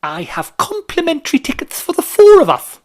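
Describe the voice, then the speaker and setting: A man putting on a high, excitable falsetto for a chattering friend in a spoken story. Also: normal speed, full character voice. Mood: excited.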